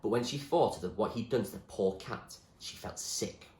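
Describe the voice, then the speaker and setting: A man reading a novel aloud as expressive storybook narration, like a teacher reading to a class. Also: on the low side, moderate pace, roomy sound.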